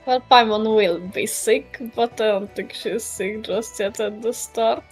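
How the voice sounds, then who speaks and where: A woman's voice: medium pitch, fairly even intonation, unhurried, faint music behind. A woman chatting casually while playing a game with a friend, full of dry, teasing comebacks. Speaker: co-op gamer. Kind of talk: casual chat while gaming.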